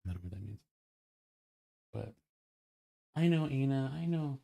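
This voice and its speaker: A man's voice: mid-range voice, very animated, moderate pace, vocal fry, dark tone. A man talking casually and privately in a placating, apologetic manner. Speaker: streamer.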